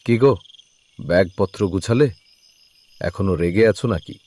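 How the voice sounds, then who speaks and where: A man playing an easygoing but firm husband and kindly uncle in an animated horror drama, talking in a reassuring, conversational way. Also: sits low, unhurried.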